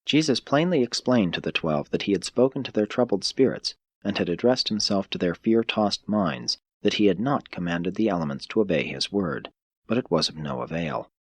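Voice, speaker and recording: A man narrates scripture-like stories in a low, husky voice. His delivery is measured and solemn, that of an audiobook reader, captured very dry and close without room sound. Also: expressive, no room sound. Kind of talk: scripted narration of a religious text.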